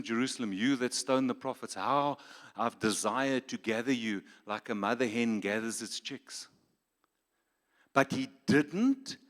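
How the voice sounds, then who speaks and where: A man with a South African accent, preaching a sermon with emphatic rhetorical questions and playful asides. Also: mid-range voice. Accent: South African English. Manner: emphatic, rhetorical questions, switches to Afrikaans for jokes. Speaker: pastor. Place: large church hall. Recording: reverberant church hall.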